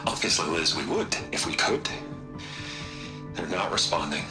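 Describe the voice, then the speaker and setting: An actor playing an anxious, evasive middle-aged corporate tycoon in a dramatic game cutscene, hesitant and defensive. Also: male voice, low-pitched, sing-song, unhurried, music in the background, exaggerated voice. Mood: sheepish.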